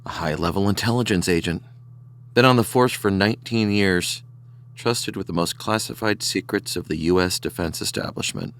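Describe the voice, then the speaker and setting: Government insider, male: deep-leaning creaky voice, dry wit, ominous understatement, sci-fi radio play. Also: normal speed, a bit bright. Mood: wry, grave. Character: grave male government insider, wry and foreboding. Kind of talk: audio drama dialogue.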